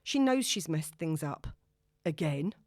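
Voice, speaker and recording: A woman's voice: deep voice, sing-song, a little slow, no echo, studio quality. A woman reading scripted novel narration as an audiobook narrator.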